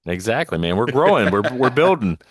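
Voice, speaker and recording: A man's voice: mid-range voice, big pitch swings, brisk, husky, no room sound, studio quality. A man co-hosting a wrestling podcast in casual, effusive talk, repeating words for emphasis. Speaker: podcast co-host.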